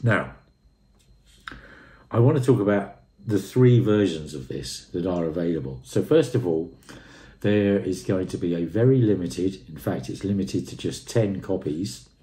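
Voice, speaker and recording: Older male reviewer in his sixties, very low voice, mellow tone, effusive, emphatic, unscripted, indoor room echo. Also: expressive, unhurried. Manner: effusive, emphatic, repeats phrases. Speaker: audio equipment and music reviewer. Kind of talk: unscripted product review to camera. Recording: roomy, echoey indoor sound.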